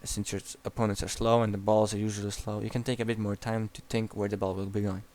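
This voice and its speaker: Young Croatian-accented man, lowish voice, blunt and profane game coach. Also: flat delivery, fast, a little mellow. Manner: blunt and profane, frequent restarts. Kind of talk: replay analysis commentary.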